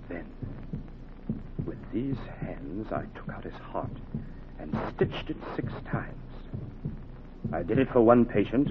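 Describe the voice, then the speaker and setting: An actor playing a dedicated, intense heart surgeon teaching his assistant, in dramatic, emphatic 1940s radio style. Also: low voice. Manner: dramatic, emphatic, deliberate phrasing. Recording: noisy, narrow-band 1940s radio recording.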